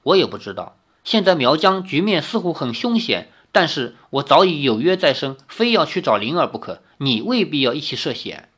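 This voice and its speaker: Young wandering swordsman, frank and earnest, lively voice, read by a guy. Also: fairly high pitch, normal speed, hardly any pauses, husky, full character voice.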